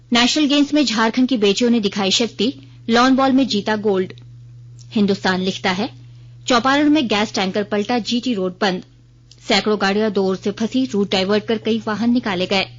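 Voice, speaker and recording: A woman reads a formal scripted regional news bulletin on the radio as the newsreader. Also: medium pitch. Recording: dull lo-fi radio broadcast.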